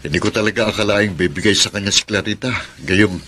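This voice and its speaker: Deep-voiced lovelorn Filipino working man. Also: quick pace, pushed and bright, a little hiss or hum. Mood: bantering. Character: lovelorn working man secretly pining for a taken woman. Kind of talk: Filipino radio soap drama acting.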